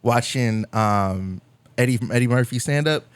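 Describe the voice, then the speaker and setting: A man's voice: medium pitch, a little slow, smooth, no room sound. Laid-back young man, dry sound. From Indiana, US. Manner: laid-back, trailing restarts, chuckling. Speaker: podcast host. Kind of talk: casual podcast chat.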